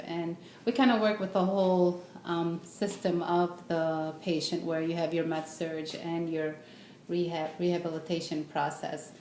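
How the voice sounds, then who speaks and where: A middle-aged woman giving an informal, halting self-introduction speech, often pausing to think. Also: on the low side, a little mellow. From born in Beirut, raised in the United States. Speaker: student speaker, registered nurse. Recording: echoey room with background noise.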